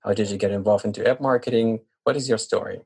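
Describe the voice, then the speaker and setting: A man hosting an interview podcast in a measured, deliberate way, sometimes repeating and rephrasing himself. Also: fairly low pitch, steady tone, creaky, darkish tone, husky. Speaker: podcast host.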